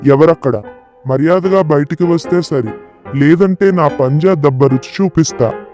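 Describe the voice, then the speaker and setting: A man voicing a vain, boastful lion king in an animated children's story, pompous and bullying. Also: on the high side, measured pace, close to an everyday voice. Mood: threatening. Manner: pompous, threatening. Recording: music behind the voice.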